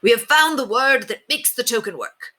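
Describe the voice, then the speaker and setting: A woman's voice: a little high, lively intonation, normal speed, pushed and bright, voice pushed up. A woman voicing a proud, confident tiny knight who speaks in formal, pompous declarations. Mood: exclaiming, triumphant.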